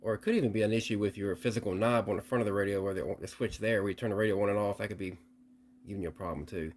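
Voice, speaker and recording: A man giving a casual hands-on demonstration and explanation in a halting, repetitive, explanatory way, like a repair hobbyist presenting a video. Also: lowish voice, fairly even intonation, slight background noise.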